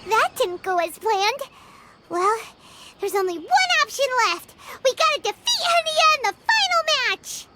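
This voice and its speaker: A woman voicing a small, excitable, childlike fairy companion in an anime game, squeaky and exclamatory. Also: unhurried, very noisy, heavily acted. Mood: determined, curious. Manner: speaks of self in third person, exclamatory. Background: music.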